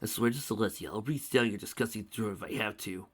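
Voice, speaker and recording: A man voicing a furious young male dragon who shouts and screeches threats at a villain. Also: fairly low pitch, quick pace, breathy edge. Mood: demanding.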